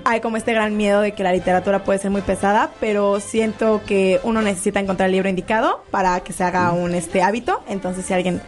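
A woman's voice: medium pitch, fairly even intonation, quick pace, few pauses, soft background music. Hesitant young-sounding woman guest. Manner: hesitant, fillers like "este". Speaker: radio guest, book reviewer. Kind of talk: self-introduction on radio.

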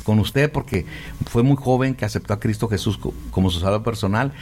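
A man's voice: fairly low pitch, a little fast, a little mellow, a little hiss or hum. A man speaking as an interviewer in a conversational interview about faith. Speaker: interviewer, host.

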